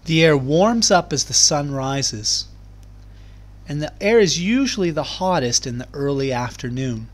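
Guy teacher doing a kids read along, kinda high voice, explains stuff simply. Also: lively intonation, measured pace. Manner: clear, simple explaining addressed to young children. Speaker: primary school teacher. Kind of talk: children's read-aloud lesson.

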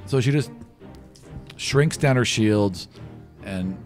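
A man's voice: lowish voice, slow, over music. Man narrating casually as game master. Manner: casual, conversational. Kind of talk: improvised narration of a tabletop game. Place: small room, players around a table. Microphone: microphone on the table in front of him.

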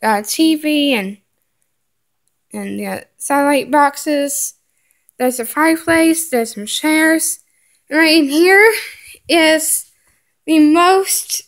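A high-pitched woman speaks very slowly, pausing often and filling the gaps with "uh" and "um" as she names things one by one. She is filming a home tour on a handheld phone while walking through the rooms of a house. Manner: halting, many fillers, lists items one by one. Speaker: vlogger giving a home tour. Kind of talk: casual home tour narration. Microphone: handheld phone camera, filming while walking.

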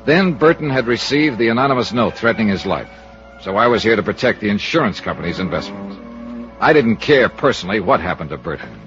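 A man's voice: fairly low pitch, big pitch swings, fairly quick. A man acting a tough, cynical American insurance investigator in 1950s radio style, clipped and sardonic. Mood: dry narrating. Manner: clipped, sardonic, hard-boiled. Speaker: lead actor and narrator. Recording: muffled old radio recording with music.

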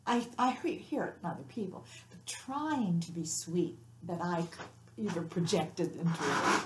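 A woman's voice: lowish voice, sing-song, moderate pace. A woman speaking frankly and informally as a participant in a group seminar discussion. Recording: echoey room with faint noise.

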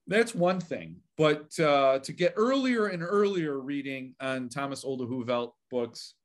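A man hosting a book channel interview, enthusiastic and halting, full of fillers and restarts. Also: mid-range voice, lively intonation. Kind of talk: casual interview conversation.

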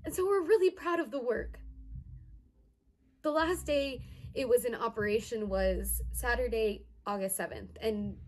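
Woman playing an earnest young organizer, higher-pitched. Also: close to an everyday voice. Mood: serious, proud. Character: earnest young female community fridge organizer. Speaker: actor in a dramatized monologue. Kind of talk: dramatized first-person narrative, conversational. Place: indoors, plain room.

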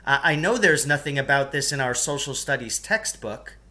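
A man's voice: mid-range voice, animated, normal speed, sharp tone. A man voicing a flustered, disapproving female schoolteacher in a storybook, with a hesitant stammer. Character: flustered, disapproving female social studies teacher.

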